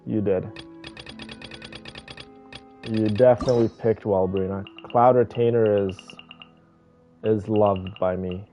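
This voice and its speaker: A man livestreaming a game with casual, chatty and effusive commentary, talking directly to his viewers. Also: mid-range voice. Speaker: game live streamer. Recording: dull, band-limited stream audio. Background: music.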